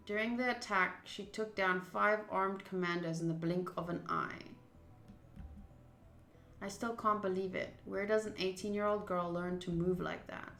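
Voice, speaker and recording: A woman's voice: medium pitch, a bit bright, echoey room. A woman streamer doing a game playthrough, reading text aloud and adding casual commentary.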